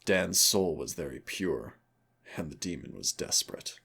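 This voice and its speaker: Demon hunter mentor for audio roleplay , male actor: very low, husky, teasing yet stern, measured dramatic delivery. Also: expressive, a bit bright, full character voice. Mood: grave, wry. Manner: teasing, deliberate, dramatic pauses. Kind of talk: audio roleplay acting, intimate second-person dialogue.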